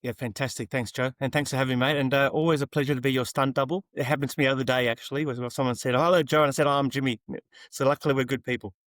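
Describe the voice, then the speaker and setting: A man's voice: medium pitch, flat delivery, racing, fairly bright tone, no room sound, studio quality. A man telling his story casually as a podcast guest, easygoing and matey. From South Africa, later Australia.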